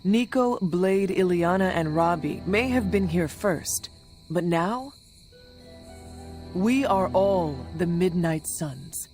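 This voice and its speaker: A woman acting a resolute young hero in a video game cutscene, speaking in a solemn, measured, formal way. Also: fairly low pitch, animated, darkish tone, soft background music. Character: resolute young hero leading a supernatural team.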